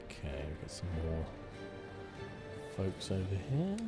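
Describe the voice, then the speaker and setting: Very deep-voiced man, soft ASMR commentary. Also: huge pitch swings, unhurried. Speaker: gaming channel host. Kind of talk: relaxed ASMR game playthrough commentary. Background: game music.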